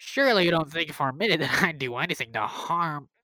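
A woman playing a kindly, absorbed scientist in an old-time radio drama, speaking in a halting, soothing way. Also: low-pitched, expressive, sharp tone, no room sound. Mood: reassuring, proud.

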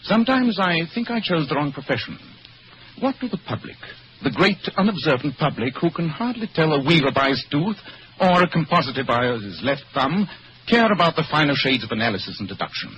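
A man playing a brilliant, sardonic English gentleman detective in a radio drama, speaking precisely with dry superiority and an English accent. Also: on the high side, very animated, brisk. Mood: bored, disdainful. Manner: precise, theatrical, dryly superior. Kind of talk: old-time radio drama acting. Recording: dull, noisy old radio recording.

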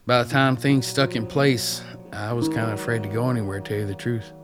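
An actor playing a reclusive, rough-mannered ex-addict loner with a wry, folksy way of talking in a radio drama. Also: male voice, mid-range voice, a little fast, over music, natural voice. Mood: guarded, wry. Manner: folksy, plain-spoken, wry.

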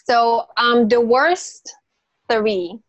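A woman's voice: a little high, drawn-out words, lo-fi sound. A woman whose first language is not English, explaining nutrition as a health coach, emphatic and repeating herself with frequent restarts and fillers. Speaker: natural health coach, podcast guest. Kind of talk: casual interview explanation.

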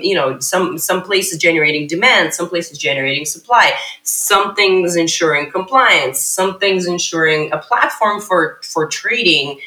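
A woman in her thirties talks animatedly as a podcast guest, winding through long sentences with plenty of fillers. Her voice is bright and sharp. It rings in a noticeably echoey room. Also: low-pitched. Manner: animated, rambling, many fillers and restarts. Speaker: startup CEO guest. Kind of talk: casual podcast interview.

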